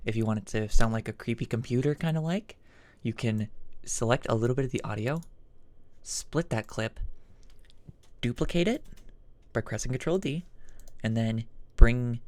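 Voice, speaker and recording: A man with a mid-range voice hosts a casual software tutorial. He talks informally and haltingly, thinking aloud as he works through the steps. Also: mid-range voice, no room sound. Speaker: tutorial host.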